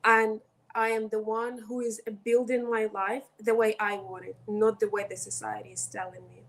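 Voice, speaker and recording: A woman's voice: fairly high pitch, flat delivery, moderate pace, a little hiss or hum. A woman from Ukraine with a Ukrainian accent, speaking as a podcast guest in an earnest, emphatic way. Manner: earnest and emphatic, stresses words one at a time. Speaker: guest, coach. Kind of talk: podcast interview.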